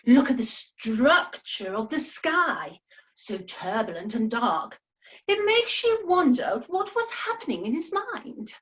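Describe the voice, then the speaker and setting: Woman playing a high-voiced gushing art lover. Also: very animated, takes it slowly, a bit bright, distant mic, heavily acted, rough recording. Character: gushing, earnest, arty female cruise passenger. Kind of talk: comic radio play acting.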